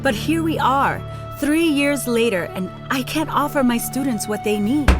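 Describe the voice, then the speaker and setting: A woman's voice: high-pitched, moderate pace, over music, natural voice. A woman playing a weary but determined 19th-century schoolteacher and older sister, speaking formally and earnestly in a period audio drama. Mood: indignant, hurt.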